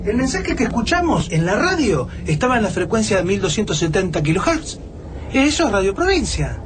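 A man's voice: high-pitched, sing-song, brisk. An actor with an Argentine accent playing an anxious, eager man full of plans in a radio drama. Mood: anxious, excited. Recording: clearly noisy radio play track.

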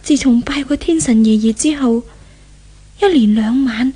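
A woman playing a weary, remorseful fallen rich girl in a 1970s Hong Kong Cantonese radio drama, with dramatic breathy delivery and sighs. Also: fairly high pitch, measured pace, mellow. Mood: weary, puzzled. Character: once-pampered fallen young woman, tormented, weary, remorseful.

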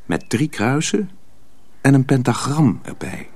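A man narrating a dark folk tale in a radio drama, in an expressive storytelling voice. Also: medium pitch, a little slow, no echo. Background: music, clearly heard.

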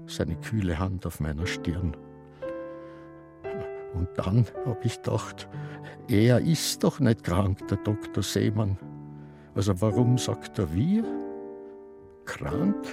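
An elderly Austrian sanatorium resident in a radio drama. He is querulous and rambling, drawing out his words slowly, with lively swoops in pitch. Music plays clearly beneath him. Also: heavily acted. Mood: drowsy, reminiscing. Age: older. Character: grumpy, forgetful old Austrian man in a sanatorium. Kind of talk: radio drama acting with inner monologue.

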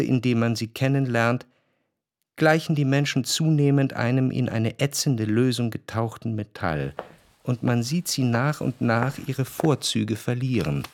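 An actor playing a reflective, refined gentleman narrator in a radio drama, measured, precise and gently ironic. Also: mid-range voice, creaky.

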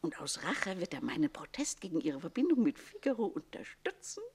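A scheming middle-aged governess in a radio comedy. Her voice swings widely in pitch as she delivers mock-polite barbs with biting emphasis. She is jealous, sharp-tongued and theatrical. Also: big pitch swings, brisk, slightly rough, exaggerated voice. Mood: conspiratorial.

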